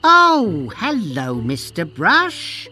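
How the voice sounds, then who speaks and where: A man's voice: high-pitched, wildly swooping pitch, very slow, bright, forceful tone, faint music behind, full character voice. A man voicing a tiny, fussy, timid male cartographer in a pirate video game, easily indignant. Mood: mild, businesslike.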